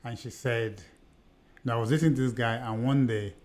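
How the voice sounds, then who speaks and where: Middle-aged nigerian guy on a podcast, earnest storyteller, keeps repeating and restarting bits, normal pitch. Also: steady tone, normal speed, darkish tone. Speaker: podcast co-host. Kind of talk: conversational podcast discussion.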